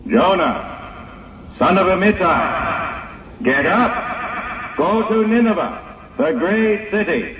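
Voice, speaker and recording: A man playing a commanding angel who delivers a divine summons in slow, solemn declamation, as in an old religious audio drama. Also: sits high, animated. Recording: echoing long reverb, muffled old tape, faint noise.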